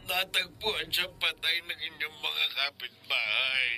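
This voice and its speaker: A man's voice: a little high, measured pace, low-quality recording. An actor playing a sorrowful, frail old grandfather, grieving and tearful, in a Filipino radio drama. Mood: weeping. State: crying, grieving.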